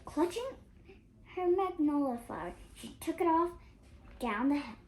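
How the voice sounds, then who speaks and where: A young girl of about eight reads a children's story aloud in a high voice that swings up and down. She goes slowly and carefully, sometimes halting over words. There is clear noise in the home recording. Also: expressive, darkish tone. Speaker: young reader. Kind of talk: expressive storybook read-aloud.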